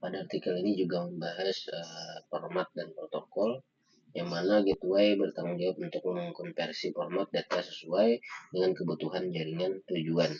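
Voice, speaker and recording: A young man giving a halting student slide presentation, pausing often on fillers. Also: deep voice, level tone, darkish tone. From Indonesia. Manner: halting, with frequent filler pauses. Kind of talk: slide presentation of an article review. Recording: dull lo-fi microphone sound.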